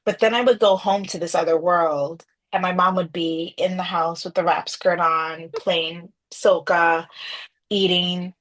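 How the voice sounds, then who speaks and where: Low-voiced woman from Trinidad, reflective. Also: fairly even intonation, measured pace. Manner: thoughtful, layered answers with frequent "you know". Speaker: podcast guest. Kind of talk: reflective conversational storytelling.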